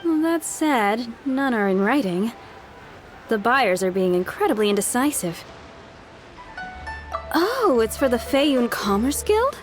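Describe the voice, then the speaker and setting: A woman's voice: fairly high pitch, big pitch swings, takes it slowly, pauses often, soft background music. An actress playing a polite, hesitant shopkeeper woman in a video game. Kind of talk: video game character acting.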